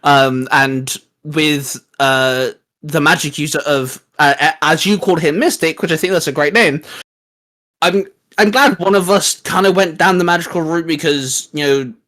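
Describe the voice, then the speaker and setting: A man co-hosting a geek show, chatting casually like a fan in a slangy, animated storytelling way. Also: on the high side, moderate pace. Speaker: co-host of a geek culture show.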